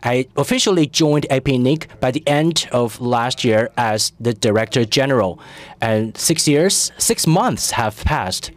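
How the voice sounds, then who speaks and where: A man giving live interpretation of a conference speech, halting, with frequent fillers. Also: mid-range voice, expressive. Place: conference hall.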